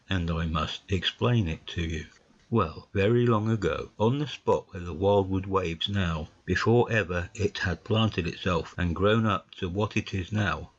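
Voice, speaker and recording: An actor playing a placid, wise old male badger, gruff and measured, in a dramatised audiobook. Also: low-pitched, lo-fi sound. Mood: calm, reflective. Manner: measured, storytelling, unhurried. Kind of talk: dramatised audiobook of classic fiction.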